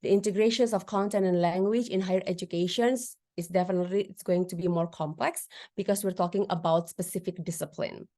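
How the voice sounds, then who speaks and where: A woman's voice: fairly low pitch, brisk, hardly any pauses. A woman lecturer with an Indonesian accent, whose first language is a regional Indonesian one, giving a webinar presentation over a video call, with fillers, restarts and questions to the audience. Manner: lively, frequent fillers and restarts, addresses audience with tag questions.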